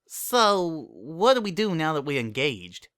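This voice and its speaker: Casting: man as fretful, sensible lady pony for storybook, raised pitch, earnest and quick to take offence. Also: big pitch swings, sharp tone, smooth, dry recording, studio quality, exaggerated voice. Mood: curious. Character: fretful, sensible, affectionate female pony fiancée. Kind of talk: dramatic reading of fan fiction.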